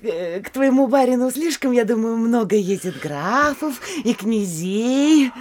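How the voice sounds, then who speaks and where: A woman's voice: a little high, lively intonation, a little slow, darkish tone, slight background noise. An actress playing a nosy, flighty provincial lady in a comic audio play, inquisitive and coquettish, with chattering hesitations. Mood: curious, coquettish. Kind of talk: theatrical audio play of classic Russian comedy.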